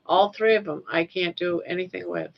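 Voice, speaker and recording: A woman's voice: on the low side, steady tone, moderate pace, vocal fry. An older woman from Maine hosting a casual livestream, rambling and laughing easily as she reads the chat. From Maine, USA. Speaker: livestream host. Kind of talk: casual live chat reading comments. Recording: dull lo-fi stream audio.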